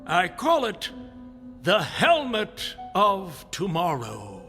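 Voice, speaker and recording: An actor playing a proud, excitable inventor professor with grandiose, deliberate declamation in an old-time radio comedy drama. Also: male voice, sits high, full character voice. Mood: proud, explanatory. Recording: reverberant radio drama with music behind.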